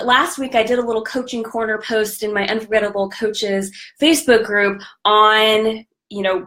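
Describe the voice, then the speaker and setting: A woman with a slightly raspy voice from a recent cold, hosting a live coaching stream in an upbeat, chatty way. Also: fairly high pitch, fairly bright tone. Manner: upbeat, chatty, greets viewers by name. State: recovering from a cold. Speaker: podcast host and business coach.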